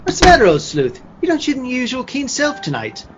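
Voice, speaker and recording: An actor voicing a genteel, excitable old-fashioned sidekick in a radio play, with quaint theatrical phrasing. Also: male voice, very high voice, fairly quick, muffled recording, full character voice. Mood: jaunty. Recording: noisy live video-call audio.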